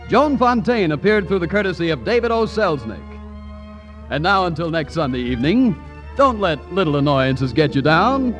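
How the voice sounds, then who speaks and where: A man's voice: high voice, a bit bright. A man announcing in 1940s radio style, with a polished salesman's delivery of credits and commercial copy. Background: music.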